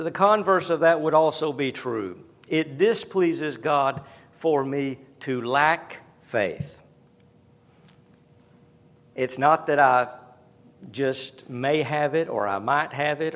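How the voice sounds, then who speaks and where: A male preacher with a fairly high voice speaks at a slow, measured pace, stressing his points through repetition. His voice carries a long hall reverb, and the sound is somewhat dull. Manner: slow, deliberate teaching with emphatic repetition. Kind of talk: sermon.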